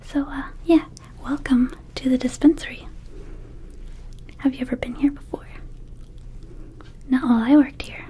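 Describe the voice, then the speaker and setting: A woman voicing a friendly, scatterbrained young vampire shop clerk in a soft breathy whisper, rambling casually and hesitantly in an ASMR roleplay. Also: high voice, fairly even intonation, a little slow, pauses often. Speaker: ASMR performer.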